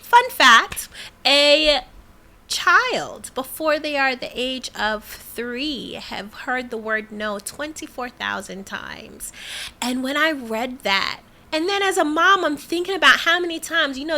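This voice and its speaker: A woman hosting a casual radio interview, talking in an animated, affirming way. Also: sits high.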